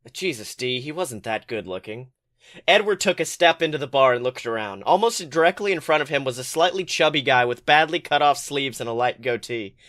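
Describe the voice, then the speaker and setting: A man podcast host reading fan fiction aloud as expressive, colloquial story narration. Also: medium pitch.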